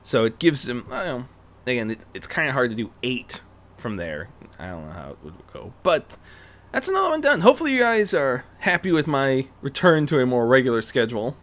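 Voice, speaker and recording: Animated, bright-voiced man reading a story. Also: mid-range voice, fairly quick. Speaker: fan fiction reader and narrator.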